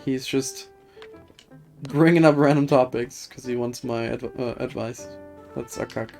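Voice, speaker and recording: A woman's voice: very deep voice, fairly even intonation, measured pace, gravelly, a little mellow. A woman game streamer chatting casually and thinking aloud in a rambling, hesitant way. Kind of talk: casual livestream chat. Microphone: streaming setup with webcam. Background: music.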